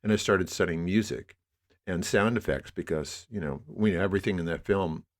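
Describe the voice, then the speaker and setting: A man's voice: deep voice, fairly quick. An American man in his sixties, speaking reflectively and discursively as a guest in a conversational interview. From New York area, United States. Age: 60s. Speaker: interview guest, media producer.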